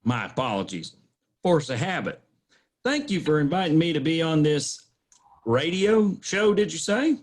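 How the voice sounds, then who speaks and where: A man's voice: a little high, expressive, normal speed. A man playing an evasive, pompous small-town lawyer in a comic radio drama, talking in wordy legal phrases. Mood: evasive, stubborn. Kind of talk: comic old-time radio drama acting.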